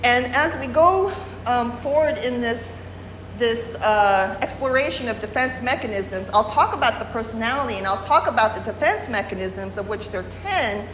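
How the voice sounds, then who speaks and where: A woman's voice: fairly high pitch, expressive, normal speed, fairly bright tone, slight background noise. A woman presenting a workshop lecture at a retreat, explaining step by step without a microphone. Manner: explanatory, many fillers and restarts. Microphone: no microphone, room recording. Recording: roomy hall, unamplified voice.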